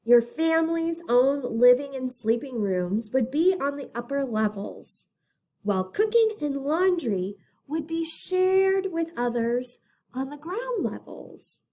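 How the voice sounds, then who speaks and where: Slow, lilting, high-voiced woman reading aloud. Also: very animated, mellow. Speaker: teacher reading aloud to children. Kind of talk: picture book read-aloud.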